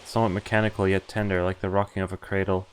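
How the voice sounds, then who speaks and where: Low-voiced man streaming a game. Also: little pitch movement, quick pace, slight background noise. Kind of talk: live playthrough, reading on-screen story text aloud. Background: game music.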